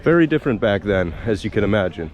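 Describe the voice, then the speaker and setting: A man's voice: on the low side, big pitch swings, quick pace, muffled recording. A man narrating a casual travel vlog, with faint outdoor city sound behind him. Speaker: travel vlogger.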